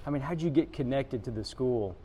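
A man's voice: medium pitch, animated, fairly quick, warm tone, a little hiss or hum. A man hosting a school podcast, chatting casually and calling his guest "man". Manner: friendly, says "man" often. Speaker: podcast host. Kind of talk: casual interview chat.

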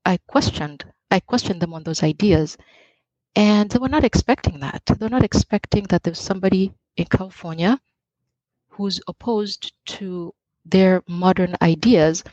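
A woman's voice: lowish voice, moderate pace, darkish tone, no echo. A woman from Uganda calling in to a live online show, explaining her own project. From Uganda, East Africa. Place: online video call. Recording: dull, lo-fi online call.

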